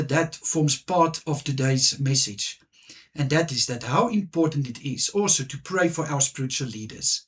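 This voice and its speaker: A man whose first language is Afrikaans, preaching a devotional message in earnest, halting speech with frequent restarts. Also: a little high, fairly bright tone. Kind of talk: devotional sermon and prayer.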